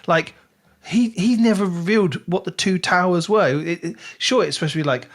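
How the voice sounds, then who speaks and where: A man's voice: high voice, fairly quick. Emphatic man in casual discussion. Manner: emphatic, repeats words for emphasis. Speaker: book podcast co-host.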